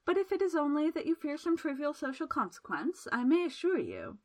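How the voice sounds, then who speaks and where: A woman's voice: high-pitched, huge pitch swings, brisk, pitched above the performer's own voice. A woman voicing Miss Absalom, a teasing temptress. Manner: soft, coaxing and flirtatious. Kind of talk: audiobook character voice.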